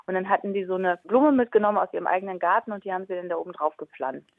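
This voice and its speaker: A woman's voice: on the low side, rapid-fire, no room sound. A woman interviewed over the phone, explaining things in plain conversational language as a guest. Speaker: interview guest, funeral director and grief counsellor. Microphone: telephone. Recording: band-limited phone call.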